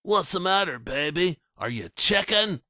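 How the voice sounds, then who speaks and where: A jeering twelve-year-old bully who mocks a smaller kid, as a man puts him on. The voice is pitched up with a lively, sing-song swing. It is recorded dry and close. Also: unhurried, no echo, heavily acted. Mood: taunting. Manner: jeering, taunting.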